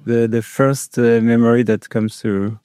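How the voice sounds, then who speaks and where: A man hosting a radio interview show, asking questions hesitantly with many pauses and restarts. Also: mid-range voice, flat delivery, dark tone, clean tone, dry recording, clean studio sound.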